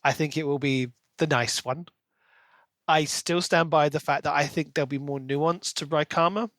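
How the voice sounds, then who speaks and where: A man with a slightly high voice co-hosts a book podcast. He chats casually, and the recording is very dry, with no room sound. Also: fairly even intonation, normal speed, a bit bright, dry recording. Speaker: podcast co-host.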